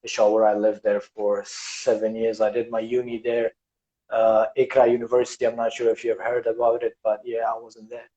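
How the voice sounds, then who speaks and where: A man from Afghanistan hosting a casual podcast interview, speaking haltingly with many fillers and restarts. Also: medium pitch, monotone, warm tone, muffled recording. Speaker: podcast host. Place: Western Australia.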